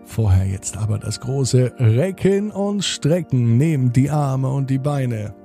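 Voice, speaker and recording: A man hosting a children's podcast, gently reading a bedtime story in a calm, soothing way. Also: medium pitch, slow pace, vocal fry, faint music behind.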